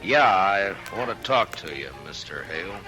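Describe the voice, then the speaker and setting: Deep-voiced stern old-west marshal. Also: male voice, animated, normal speed. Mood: menacing. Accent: American. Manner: grim, terse, forceful. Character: stern, weary frontier US marshal, middle-aged man. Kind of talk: 1950s radio drama acting. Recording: vintage radio recording with music.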